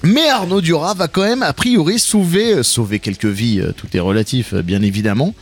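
A man in his late thirties hosting a morning radio show, lively and teasing, laughing often. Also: a little high, a little fast, faint music behind. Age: late 30s. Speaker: radio host. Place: radio studio.

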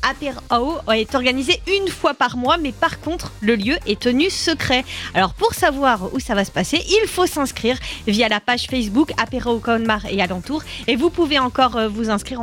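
Brisk female radio co-host. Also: fairly high pitch, fairly bright tone, faint music behind. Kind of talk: reading event listings on radio.

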